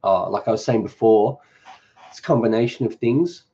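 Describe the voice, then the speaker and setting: Mellow, easygoing Australian man. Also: lowish voice, moderate pace, dull audio. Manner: relaxed, rambling, joking. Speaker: cooking channel host. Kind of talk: casual live-stream Q&A.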